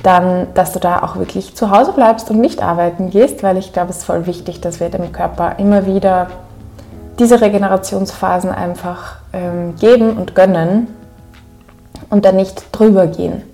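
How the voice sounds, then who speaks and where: A woman's voice: mid-range voice, steady tone, moderate pace, warm tone, soft background music. A young woman with an Upper Austrian accent, hosting a podcast and chatting to listeners with frequent ums. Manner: chatty, frequent ums, friendly direct address. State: recovering from a cold. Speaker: podcast host. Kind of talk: solo podcast intro.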